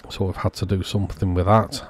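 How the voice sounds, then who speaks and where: A man's voice: deep voice, flat delivery, brisk, a little hiss or hum. A man narrating a hands-on repair walkthrough in a matter-of-fact, understated way, as the host of a repair channel.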